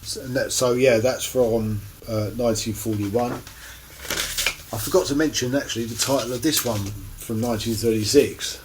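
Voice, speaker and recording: A man giving a casual, unscripted show-and-tell to camera as a record collector, in a halting, rambling way with many pauses. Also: medium pitch, fairly even intonation, noisy background. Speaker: record collector presenting finds on his channel.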